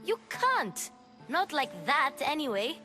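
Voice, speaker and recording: A young girl helper in a video game with a high voice. She sounds earnest and reassuring, a little bossy but caring, with soft music underneath. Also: very animated, slow, full character voice. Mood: brisk, reassuring. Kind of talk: video game cutscene acting.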